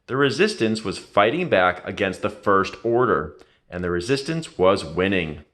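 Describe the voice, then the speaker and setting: Guy reading a kids adventure book out loud, really dramatic, pitch goes all over. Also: big pitch swings, normal speed, noisy background. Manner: dramatic, emphatic storytelling. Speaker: storybook reader. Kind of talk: expressive read-aloud narration of a children's adventure story.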